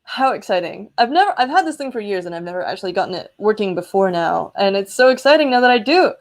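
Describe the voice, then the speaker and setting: A woman's voice: medium pitch, animated, fast. Enthusiastic woman explaining electronics live. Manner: enthusiastic, exclaiming, chatty. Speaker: host of an electronics prototyping livestream. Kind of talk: casual live demo, explaining hardware.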